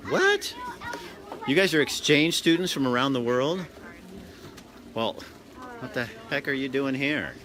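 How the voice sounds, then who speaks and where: A man's voice: medium pitch, very animated, a little slow, pauses often, background noise. A man hosting an on-location interview, asking friendly, joking questions with enthusiasm. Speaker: TV host, roving reporter. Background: crowd chatter.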